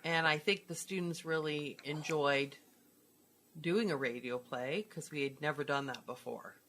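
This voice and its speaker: A woman's voice: deep voice, measured pace, fairly bright tone, slight background noise. A woman co-hosting in casual, conversational chat. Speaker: co-host, co-producer.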